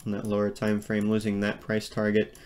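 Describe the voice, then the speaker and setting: A man's voice: on the low side, monotone, fairly quick, darkish tone, smooth. A man walking through market charts on screen in a rambling, hedging way, full of ums and restarts. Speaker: crypto market analyst. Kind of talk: screen-share chart analysis.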